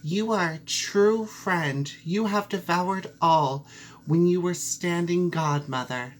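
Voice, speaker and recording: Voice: medium pitch, takes it slowly, a little hiss or hum, voice pushed up, close to an everyday voice. A performer voicing a small, earnest, suspicious female mouse in a storybook, plaintive and full of questions. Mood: dismayed, accusing. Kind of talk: storybook character voice.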